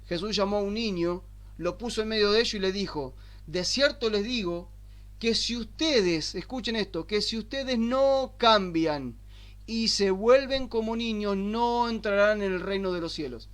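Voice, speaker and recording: An Argentine man preaching a sermon, asking rhetorical questions and slowing down to stress the scripture he quotes. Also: high-pitched, fairly bright tone. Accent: Argentine Spanish. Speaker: pastor. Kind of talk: sermon teaching.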